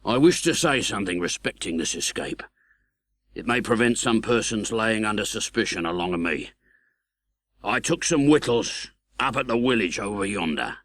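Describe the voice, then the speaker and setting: Audiobook role: hardened English convict acted by a male reader, scornful, uneducated, low-pitched, brooding. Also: lively intonation, fairly bright tone, dry recording. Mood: sullen, grudging. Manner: slow, grudging, uneducated speech.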